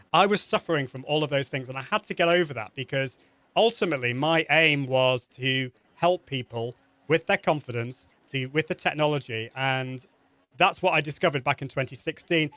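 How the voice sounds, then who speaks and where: A man's voice: medium pitch, normal speed, pushed and bright, no echo. A man with a British accent, a guest on an interview show, giving earnest, encouraging advice and often restarting his sentences. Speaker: guest expert.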